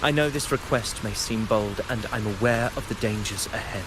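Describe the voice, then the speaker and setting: A man's voice: lowish voice, normal speed, background noise, lightly acted. A man voicing a loyal warrior in a video game, respectful, formal and restrained. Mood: earnest, solemn. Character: earnest loyal male warrior, respectful and dutiful.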